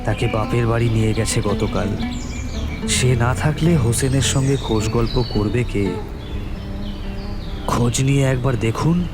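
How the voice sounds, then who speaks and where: A man playing an older, well-off gentleman in an audio drama, courteous yet defensive and disdainful, speaking deliberately. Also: medium pitch, over loud music, lightly acted.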